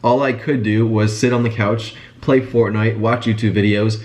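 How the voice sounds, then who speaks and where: A man's voice: lowish voice, fairly even intonation, darkish tone. A young man telling his story in a casual vlog while congested and sick with a stuffy nose. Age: young adult. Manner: fast, chatty, informal. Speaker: business vlogger.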